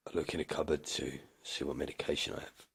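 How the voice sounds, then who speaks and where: Weary survivor, husky, flat delivery, subdued, audio drama journal. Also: male voice, a bass voice, fast, full character voice. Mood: ill, weary. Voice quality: gravelly, husky. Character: weary male English survivor Isaac keeping an apocalypse journal. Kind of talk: audio drama first-person journal narration.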